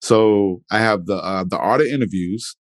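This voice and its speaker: A man hosting a podcast interview in relaxed, casual chat, rambling with many fillers and restarts. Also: fairly low pitch, normal speed, dry recording, studio quality. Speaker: podcast host.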